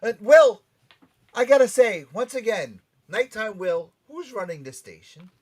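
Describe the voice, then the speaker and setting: A man hosting a casual show excitably, with showy announcer flourishes and repeated phrases for emphasis. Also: high-pitched, unhurried, slight background noise. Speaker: podcast host.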